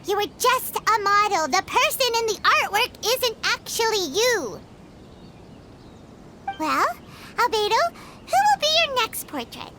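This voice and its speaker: Squeaky, very high little fairy companion. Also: female voice, sing-song, measured pace, a little hiss or hum, full character voice. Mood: impressed, eager. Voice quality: squeaky, childlike. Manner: exclamatory, scolding. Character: small excitable female fairy companion, childlike, bossy, indignant. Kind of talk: video game character acting. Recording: loud music behind the voice.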